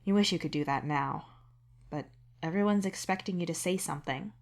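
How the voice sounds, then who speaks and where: A woman reading scripted fiction as narrator, in an introspective, expressive way. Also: on the low side, fairly quick, creaky. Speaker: narrator and author reading own fan fiction.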